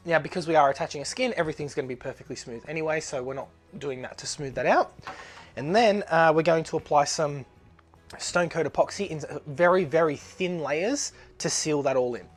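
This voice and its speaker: A man with a blocked-up nose, narrating a casual craft tutorial as he works, chatty and easygoing, with plenty of ums and uhs. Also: a little high. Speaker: resin artist demonstrating a project.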